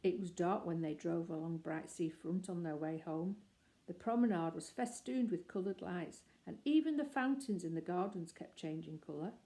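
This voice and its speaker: A woman reading a children's story aloud in a gentle, measured way. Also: lowish voice. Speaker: narrator.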